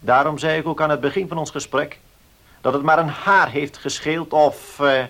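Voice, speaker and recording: Formal, measured male notary. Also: a little high, fairly even intonation, fairly bright tone. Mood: calm, firm. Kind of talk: Dutch radio play acting.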